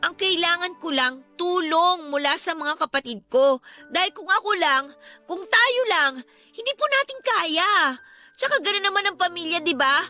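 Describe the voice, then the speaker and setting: Fed-up filipino daughter in radio drama, sarcastic, really high sharp voice, music under it. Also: female voice, expressive, moderate pace, full character voice. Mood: earnest, hurt. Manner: indignant, emphatic, sarcastic. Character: frustrated, outspoken adult eldest daughter, dutiful but hurt. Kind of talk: Tagalog radio drama acting. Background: background music.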